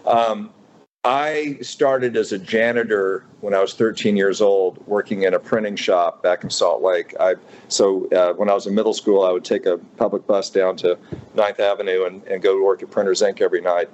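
A man in his fifties from Utah, a restaurateur speaking as a guest in a radio interview, thoughtful and halting with many fillers and restarts. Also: low voice, fairly even intonation, darkish tone, highs cut off, slight background noise. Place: radio studio.

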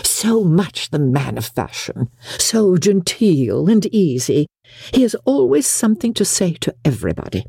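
A narrator acting a chattering, boastful mother, wildly swooping pitch. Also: gravelly, dark tone, slightly rough, no echo, studio quality, full character voice. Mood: gushing. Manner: rambling, gossipy, self-satisfied. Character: chattering, boastful middle-aged mother, fussy and gushing. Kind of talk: audiobook character dialogue.

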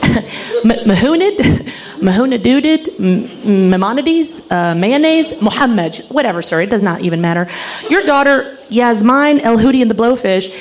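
A woman's voice: a little high, expressive, normal speed, close to an everyday voice. A woman putting on a patronizing grade-school teacher, sugary and condescending. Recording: noisy live club recording.

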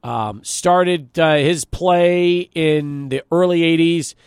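A man's voice: on the high side, slow pace, darkish tone, clean tone, no room sound, studio quality. A middle-aged man hosting a sports talk radio show, conversational and emphatic, punctuating his points. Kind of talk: sports talk radio, chatting and prompting callers. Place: radio studio.

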